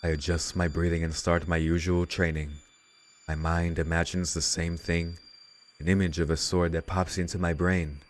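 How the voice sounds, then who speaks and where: A man's voice: sits low, little pitch movement, normal speed. A man hosting a let's read, reading visual novel narration aloud and dropping in casual asides. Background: game music.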